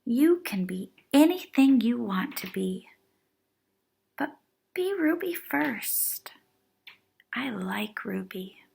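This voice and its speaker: A woman voicing a kind, gentle schoolteacher in a children's storybook, speaking encouragingly to her pupils. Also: on the high side, big pitch swings, takes it slowly, vocal fry, long pauses, breathy edge, full character voice. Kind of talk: children's storybook read-aloud.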